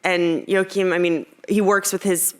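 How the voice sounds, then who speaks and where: A woman with an American accent and a fairly low voice answers as an actress at a press conference. She is chatty and bubbly, rambling a little as she goes. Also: steady tone, a little slow. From United States. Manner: bubbly, chatty, laughs easily.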